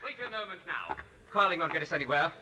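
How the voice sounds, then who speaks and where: An actor playing a firm rehearsal boss, brisk and reproving, in a 1940s radio drama. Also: male voice, fairly high pitch, animated, pushed and bright, recorded from a distance, heavily acted, poor audio. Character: firm male rehearsal boss breaking up a quarrel. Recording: vintage 1940s radio, off-mic.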